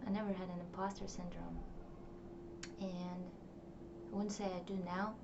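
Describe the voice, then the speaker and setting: A woman's voice: on the low side, level tone, moderate pace, creaky. A young woman whose first language is Russian, talking in a rambling, reflective way with many fillers, recording a personal diary vlog. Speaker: vlogger. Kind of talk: casual diary vlog to camera. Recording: distant, dull, noisy home recording.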